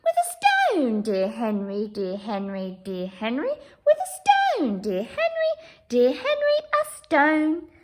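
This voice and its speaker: A woman's voice: on the high side, huge pitch swings, takes it slowly, very noisy, exaggerated voice. A woman voicing an exasperated, bossy storybook woman with emphatic, sing-song replies.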